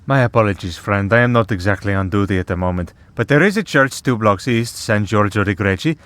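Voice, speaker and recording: Man acting a deep-voiced, wry vampire priest. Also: brisk, a bit bright, smooth, close to an everyday voice. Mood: wry, exasperated. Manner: sardonic, bantering, conversational. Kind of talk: ASMR roleplay acting.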